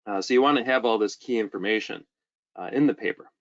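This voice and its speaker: Low-voiced man presenting, dull webinar audio. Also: fast. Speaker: webinar presenter, journal editor. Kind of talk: informal lecture presentation.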